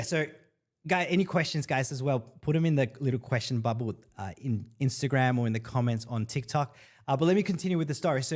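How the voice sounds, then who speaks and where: Male livestream host, 30s, from El Salvador; casual upbeat chatter, mid pitch, heavy filler words. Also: a little mellow. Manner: chatty, upbeat, lots of fillers, reads comments aloud. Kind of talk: casual live Q&A stream.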